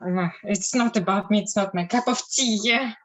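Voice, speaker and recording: A woman chatting in English in a group, easygoing and agreeable, often laughing softly. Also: medium pitch, moderate pace. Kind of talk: casual group chat in English.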